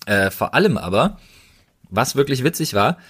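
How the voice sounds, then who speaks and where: A man's voice: mid-range voice, very animated, moderate pace, fairly bright tone, breathy edge. A man hosting a casual podcast, recommending things in an enthusiastic, colloquial way. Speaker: podcast host.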